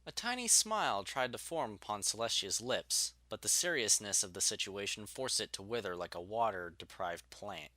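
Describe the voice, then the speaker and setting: Male storybook narrator, animated intonation, crisp forward tone, very dry sound. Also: on the low side, normal speed, few pauses, no room sound.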